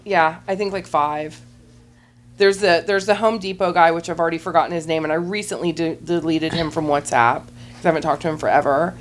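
A podcast guest speaks in a fairly low-pitched woman's voice. She tells frank personal stories in a rambling, casual flow, peppered with "like" and unbothered by the subject. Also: steady tone, brisk, slight background noise. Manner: rambling, heavy use of "like"; blunt and unembarrassed. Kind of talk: candid casual storytelling.

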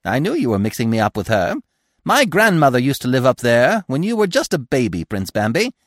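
A man voicing a chatty, fussy, excitable squirrel in a storybook, gushing and emphatic. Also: mid-range voice, brisk, dry recording, clean studio sound, voice pushed up. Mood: chatty, sociable.

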